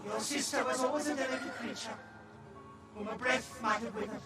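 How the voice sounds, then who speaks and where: A man voicing a solemn Christmas spirit in a storybook reading, speaking quietly and gently. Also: very high voice, brisk, echoey room, recorded from a distance, over loud music.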